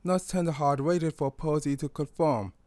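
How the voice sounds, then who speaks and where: A man's voice: fairly high pitch, steady tone, normal speed, a little mellow, no room sound. A man reading scripted story narration in an earnest, sentimental way. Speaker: fan fiction narrator.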